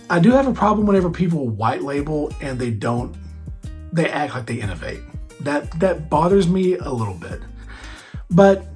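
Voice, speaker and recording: A hoarse man hosting a barber podcast, chatting casually on his own with lots of fillers and restarts. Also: a little high. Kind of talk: casual solo podcast chat.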